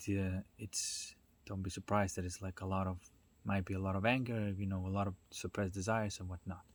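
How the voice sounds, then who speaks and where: Male speaker, lowish pitch, informal explainer. Thoughtful, halting phrasing with conversational tags. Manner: reflective, halting delivery with checking tags like "right?" and "you know". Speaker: speaker giving a self-development talk.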